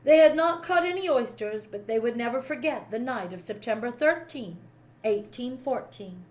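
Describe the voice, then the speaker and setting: A woman with a fairly high voice reads a historical children's story aloud. She narrates expressively, as a storybook reader does for young listeners. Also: expressive, moderate pace, a little mellow.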